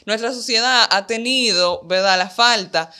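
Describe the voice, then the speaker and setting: A woman's voice: a little high, unhurried, pushed and bright, smooth. A woman co-hosting a conversational discussion, explaining ideas in empathetic terms.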